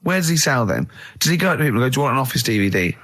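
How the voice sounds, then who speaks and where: Casting: male radio co-host, forceful bright voice, very lively pitch, giggly teasing banter. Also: rapid-fire. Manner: animated, giggly, teasing, acts out little dialogues. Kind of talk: unscripted comic radio banter.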